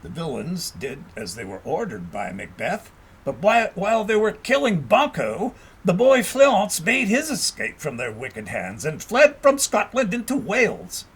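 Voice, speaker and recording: A male audiobook narrator with a high voice that has a rough, husky grain. His pitch swings widely as he reads a historical tale. The delivery is expressive and measured, like scripted storybook narration.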